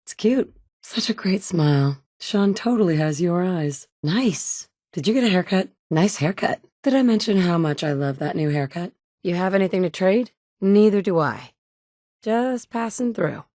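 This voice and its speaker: A woman's voice: on the low side, lively intonation, normal speed, dark tone, dry recording. A woman playing a determined young mother surviving in a wasteland, with earnest, dry, conversational delivery in video game dialogue. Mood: warm, teasing, then wry. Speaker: video game player character.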